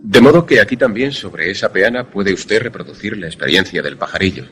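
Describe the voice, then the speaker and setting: A man playing a polite, inquisitive visitor who narrates and converses in a suspense radio play, measured and formal. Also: lowish voice, lively intonation, fairly bright tone. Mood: inquisitive, puzzled. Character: inquisitive male visitor narrating an eerie tale, polite, sceptical.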